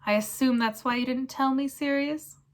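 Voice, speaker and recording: Woman reading as calm grim guy, loyal old friend, measured and casual, storybook character. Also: high-pitched, lightly acted.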